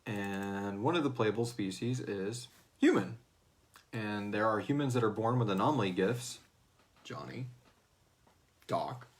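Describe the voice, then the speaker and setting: A man's voice: lowish voice, a little slow, pauses often. A man hosting a casual question-and-answer chat, speaking informally and loosely, with some swearing. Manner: informal, swearing, acts out quotes. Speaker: host and series creator.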